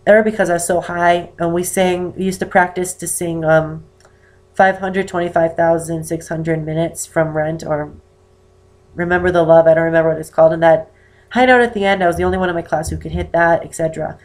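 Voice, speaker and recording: An eighteen-year-old man with an unusually high-pitched voice and damaged vocal cords, talking casually to camera and rambling with many ums. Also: fairly even intonation, brisk. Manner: rambling, with many "um"s and self-corrections. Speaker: vlogger. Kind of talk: casual personal talk to camera. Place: indoor room with poor lighting.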